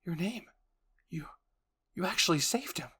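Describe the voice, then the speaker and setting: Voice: mid-range voice, animated, measured pace, bright, forceful tone, breathy edge, voice pushed up, full character voice. A performer voicing an urgent, shocked military officer in an anime fan-fiction roleplay. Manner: urgent, exclaiming.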